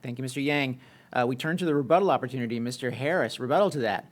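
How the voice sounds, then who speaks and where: A man moderating a debate, brisk and businesslike. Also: mid-range voice.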